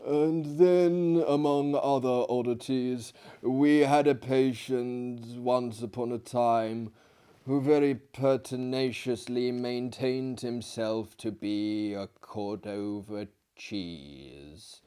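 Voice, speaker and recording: Man doing a slow, low cadaverous dinner guest. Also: dark tone, smooth, natural voice. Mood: grave, drawn-out. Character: cadaverous male dinner guest telling odd tales.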